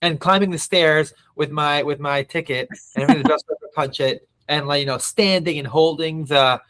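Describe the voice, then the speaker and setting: A man in his thirties who grew up between the US and Israel, a podcast guest telling stories in a reflective, halting way. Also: fairly high pitch, fairly bright tone. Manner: reflective, many restarts and fillers. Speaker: guest, nonprofit CEO. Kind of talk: interview.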